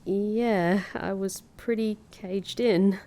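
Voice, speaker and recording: A woman playing a sardonic, flustered young woman in a comedy fantasy audio drama, with dry, halting delivery. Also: mid-range voice, fairly even intonation, dark tone. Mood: awkward, subdued.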